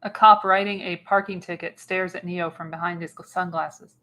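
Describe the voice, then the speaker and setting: A woman's voice: lowish voice, steady tone, normal speed, pushed and bright. A woman reads scene directions as narrator of a radio play, in scripted narration with an occasional stumble.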